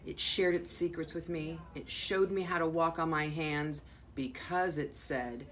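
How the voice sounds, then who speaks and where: An American woman, a school principal, reading a children's picture book aloud expressively, with dramatic pauses and drawn-out words. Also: sits low, fairly even intonation, fairly bright tone. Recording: faint background noise.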